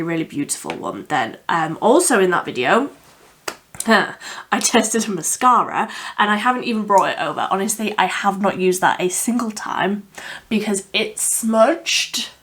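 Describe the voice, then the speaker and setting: A woman with a slightly croaky voice from a cold, chatting candidly as a beauty vlogger reviewing makeup. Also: medium pitch, a bit bright. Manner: chatty and candid, with frequent fillers. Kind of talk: casual product review.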